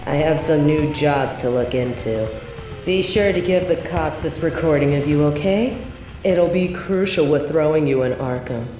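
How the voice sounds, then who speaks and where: Low-voiced, sardonic sorceress played by an actress, echoey. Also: female voice. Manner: sardonic, condescending. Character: smug, bored superpowered sorceress turned investigative journalist. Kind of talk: audio roleplay drama acting.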